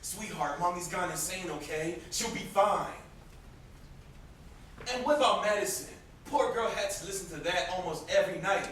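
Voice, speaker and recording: A man's voice: high-pitched, normal speed, fairly bright tone. A man acting a bitter, sarcastic young brother in an amateur stage play. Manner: sarcastic, confrontational. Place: indoor theatre stage. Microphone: distant camera microphone. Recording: distant echoey stage recording, faint noise.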